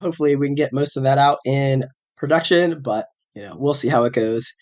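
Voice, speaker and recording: A man's voice: mid-range voice, fairly quick, a little mellow. A man in his forties hosting a podcast, chatting casually and rambling with restarts, a little sick with a cough. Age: 40s. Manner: rambling, self-correcting, chatty. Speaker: podcast host.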